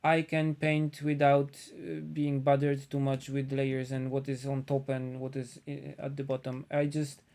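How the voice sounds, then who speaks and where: A man from Poland with a Polish accent, chatting casually on a live stream as he paints, thinking aloud with frequent pauses. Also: medium pitch, monotone, a little mellow.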